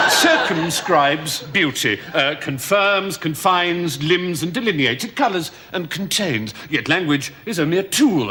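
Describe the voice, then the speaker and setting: An actor playing a pompous, rambling middle-aged pundit who talks about language in florid, grandiose phrases. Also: male voice, on the high side, sharp tone. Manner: florid, grandiose, self-delighted. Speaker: sketch comedy character. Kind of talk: studio sketch comedy.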